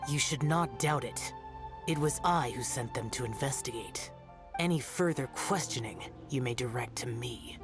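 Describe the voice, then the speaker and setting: A man's voice: medium pitch, moderate pace, lightly acted. A man voicing a stern, aloof young male guardian spirit in a game, speaking cold and formal. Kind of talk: game voice acting. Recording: game audio with loud music.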